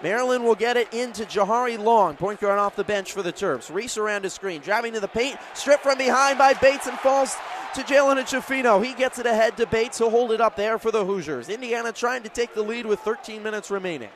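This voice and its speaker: Young man, high voice, play-by-play sportscaster, polished short phrases, light background noise. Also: fairly quick, a bit bright. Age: 20s. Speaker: play-by-play sports broadcaster and reporter. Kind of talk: sports commentary and reporting.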